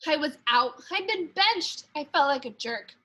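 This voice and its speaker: A woman with a very high, bright voice reads a children's picture book aloud as a primary school teacher would. Her delivery is expressive and deliberate, leaning on key words for dramatic emphasis. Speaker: second grade teacher.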